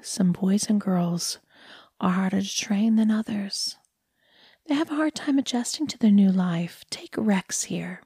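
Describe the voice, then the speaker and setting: A woman's voice: medium pitch, unhurried, dark tone, slightly rough, no room sound, studio quality. A woman voicing a sugary, condescending woman who trains human pets, in half-whispered, patronizing baby talk. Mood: cooing.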